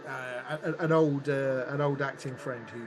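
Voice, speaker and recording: A man's voice: medium pitch, steady tone, moderate pace, a crowd in the background. A middle-aged man talking as a podcast guest about his work in a chatty, playful and enthusiastic way. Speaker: guest, audio drama producer and director.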